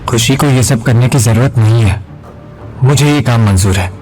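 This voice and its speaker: A man's voice: medium pitch, normal speed, a little mellow, faint music behind, close to an everyday voice. A man playing a proud, wounded young man, brooding and earnest, in an audio serial drama. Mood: proud resolve.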